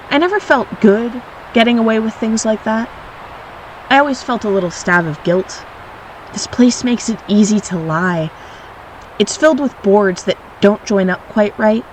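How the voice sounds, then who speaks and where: A woman playing a wry, reflective storyteller, narrating an audio drama in a measured way. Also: mid-range voice, a little hiss or hum, natural voice, poor audio. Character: wry, reflective storyteller recalling childhood mischief.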